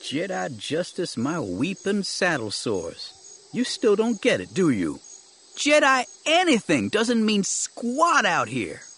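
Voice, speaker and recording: A man's voice: medium pitch, wildly swooping pitch, measured pace, husky, heavily acted. A man voicing a cynical, exasperated young local sidekick with slangy, sarcastic speech in an audiobook. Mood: exasperated, scornful.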